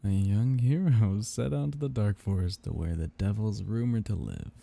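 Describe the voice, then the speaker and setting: A man playing a seductive, possessive vampire captor in an intimate ASMR roleplay, teasing and condescendingly tender in a breathy, gravelly murmur. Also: lowish voice, animated, moderate pace, mellow. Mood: amused, indulgent.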